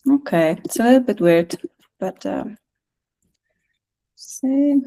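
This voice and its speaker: Middle-aged Icelandic female presenter, soft-edged mellow tone, informal online talk with halting delivery. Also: on the high side, expressive. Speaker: guest speaker, national music office director. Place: video call.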